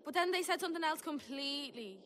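A woman's voice: high-pitched, expressive, fast talker, a bit bright, a little hiss or hum. A woman playing a chatty, exasperated older sister in a children's audio drama, gossipy and dramatic. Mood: gossipy, excited.

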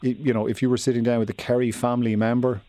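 A man's voice: medium pitch, little pitch movement, racing, warm tone, a little hiss or hum. A man hosting a radio talk show, asking informal, probing questions with frequent repeated words. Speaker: radio host.

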